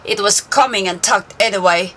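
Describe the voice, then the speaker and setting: A woman voicing a cheerful, kindly male giant in a storybook, loud, upbeat and reassuring. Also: medium pitch, normal speed, a little hiss or hum, natural voice. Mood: breezy.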